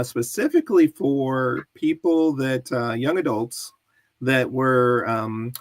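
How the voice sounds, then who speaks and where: A man's voice: mid-range voice, no room sound. A man hosting an interview on a video call, upbeat and chatty, with frequent laughs and fillers. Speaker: podcast host. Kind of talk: conversational interview.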